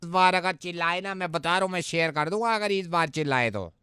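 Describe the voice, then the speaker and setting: A man's voice: high-pitched, brisk, pushed and bright, clean tone, no room sound, studio quality. A man playing a cheeky, falsely familiar young acquaintance who needles and bosses the person he prank calls on radio. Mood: bossy, threatening. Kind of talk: radio prank phone call.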